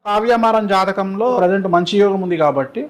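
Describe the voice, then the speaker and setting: A man's voice: high-pitched, fast talker. A man speaking as an astrologer, giving predictions in a matter-of-fact talking style. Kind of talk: spoken predictions.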